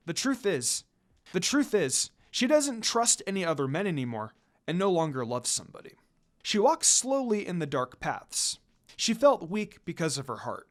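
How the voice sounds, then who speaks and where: A man reading fan fiction aloud as a dramatic narrator. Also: on the high side.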